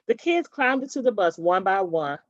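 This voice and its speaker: A woman's voice: medium pitch, animated, moderate pace, no room sound, muffled recording. A woman reading a children's story aloud expressively, in the manner of a teacher.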